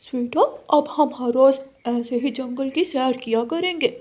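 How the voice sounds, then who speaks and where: A woman's voice: high-pitched, unhurried, darkish tone. A woman voicing a mischievous, greedy young male cartoon crow with a wheedling, childlike manner. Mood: ingratiating. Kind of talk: cartoon story dialogue.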